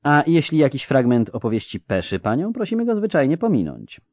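A composed sorceress from a fantasy audiobook , voiced by a male narrator. She is mild and formal, pitched well up, with lilting, lively intonation. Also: brisk, no echo. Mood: gently reproving. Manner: gentle, formal. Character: mild, courteous, composed sorceress chairing a council.

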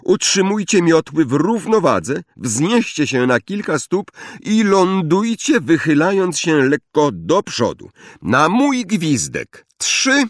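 A man voicing a brisk, strict female flying teacher at a wizard school, giving emphatic commands in an audiobook. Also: a little high, sing-song, no room sound, clean studio sound, pitched above the performer's own voice, exaggerated voice. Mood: brisk, commanding. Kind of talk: audiobook character voice.

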